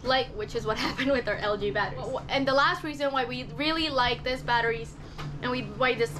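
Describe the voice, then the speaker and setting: A woman's voice: high-pitched, noisy background. Chatty woman vlogging on a boat. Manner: chatty, self-doubting, explains with hand gestures. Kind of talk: casual vlog explanation. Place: cramped boat cabin, indoors. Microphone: handheld camera at arm's length.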